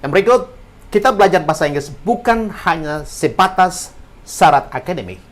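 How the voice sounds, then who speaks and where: A man's voice: high voice, animated, normal speed, fairly bright tone. A man, an English teacher and former interpreter, talking earnestly and encouragingly in a podcast interview.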